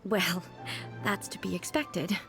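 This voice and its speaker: A woman's voice: fairly low pitch, animated, takes it slowly, a bit bright, exaggerated voice. A woman voicing a regal, composed ruler in a video game, formal and stately yet naively curious. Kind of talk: video game character acting. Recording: music behind the voice.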